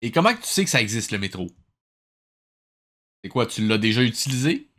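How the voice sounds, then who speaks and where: A man's voice: mid-range voice, big pitch swings, fairly quick, a bit bright. A man with a Quebec French accent giving sarcastic, nitpicking reaction commentary, dropping in English phrases. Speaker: host of a cartoon review show.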